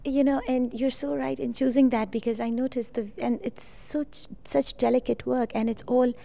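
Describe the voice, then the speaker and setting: A woman TV host interviewing a guest on location, sounding effusive and admiring. Her voice sits slightly high with a mellow, warm tone, and she fills pauses with uh. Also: steady tone, creaky. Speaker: TV show host. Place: indoors at a school art exhibition.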